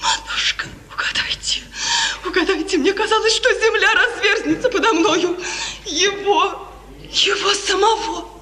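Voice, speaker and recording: An actress playing a frightened, obedient young bride in a 1950s radio tragedy, speaking in a breathless, impassioned, tremulous way. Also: female voice, very high voice, measured pace, bright, forceful tone, full character voice. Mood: frightened, agitated. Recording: old echoey radio recording, hissy, long reverb.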